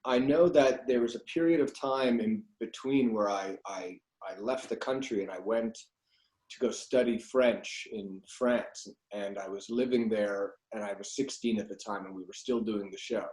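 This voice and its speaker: A man's voice: fairly low pitch, moderate pace, darkish tone. A middle-aged man, reminiscing casually as a voice actor being interviewed. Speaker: guest, voice actor. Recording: echoey room sound.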